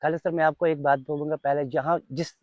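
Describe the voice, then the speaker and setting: Earnest young Kashmiri man, slightly high voice. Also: steady tone, fast, no echo, dull audio. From Kashmir, India. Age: young adult. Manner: earnest, emphatic, repeats phrases. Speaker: volunteer being interviewed. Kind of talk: unscripted interview answers.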